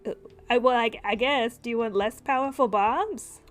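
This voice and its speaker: A woman acts out a haggling fantasy shopkeeper in a tabletop game. The voice sits on the high side, drawing out the sales pitch with coy hesitation, with music playing behind. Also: normal speed, natural voice. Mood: eager selling. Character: haggling shopkeeper selling enchantments, bombs and oddities.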